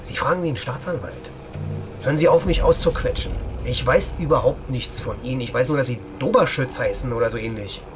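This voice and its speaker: A sardonic military officer played by a man in a radio crime drama, with a medium-pitched voice. He talks in a brusque, dry, challenging way amid clear vehicle noise. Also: fairly quick, close to an everyday voice. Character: brusque, sardonic male army officer and pilot. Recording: loud vehicle engine noise around the voice.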